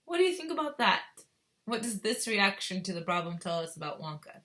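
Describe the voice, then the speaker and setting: Woman teacher reading aloud to young pupils. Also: mid-range voice. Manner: expressive, pausing to explain and ask questions. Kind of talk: children's read-aloud with commentary and questions to pupils.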